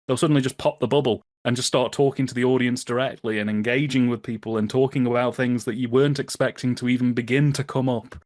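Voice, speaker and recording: A man in casual conversational commentary, telling anecdotes in an amused, animated way. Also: mid-range voice, no room sound, studio quality. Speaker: podcast guest.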